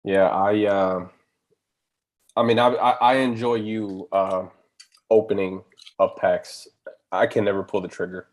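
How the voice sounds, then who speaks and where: Low-voiced stammering stream co-host man. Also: fairly even intonation, a little slow, long pauses. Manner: chatty, stammers and restarts, reads chat questions. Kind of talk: casual live stream chat.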